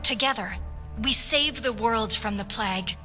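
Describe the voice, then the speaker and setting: A woman playing an earnest, proud noble swordswoman and patriot in a video game, speaking in a measured, formal, idealistic way. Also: on the high side, natural voice. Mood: determined. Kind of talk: video game roleplay dialogue. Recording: music behind the voice.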